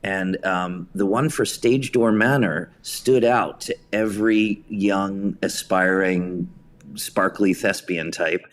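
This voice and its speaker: American male theater director as interview guest; lowish voice, wry, self-deprecating, conversational storyteller. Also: slow pace. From suburban New York. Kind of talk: casual anecdotal storytelling.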